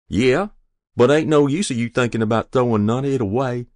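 A man's voice: medium pitch, brisk, darkish tone, no room sound, clean studio sound, pitched above the performer's own voice, natural voice. A man voicing a stern, exasperated rural mother with a Southern US accent, blunt and dismissive in a story reading. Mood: scolding, exasperated. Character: stern, scolding rural mother, adult woman, exasperated but loving.

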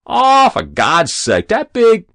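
A man's voice: high voice, wildly swooping pitch, a little slow, a bit bright, no room sound, studio quality, pitched above the performer's own voice, heavily acted. A brash young American tough with a voice pushed well up from the narration. He sneers and leers through slangy lines, insolent and crude, as in a storybook reading. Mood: contemptuous. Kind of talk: literary short story reading.

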